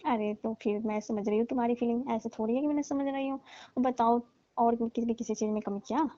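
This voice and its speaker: Woman doing a coaxy aunty on a call, kinda high voice, muffled dry phone sound. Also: fairly even intonation, brisk, warm tone, lightly acted. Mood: coaxing. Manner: wheedling, keeps calling him "babu". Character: coaxing, pleading middle-aged aunty. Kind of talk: scripted comedy phone call.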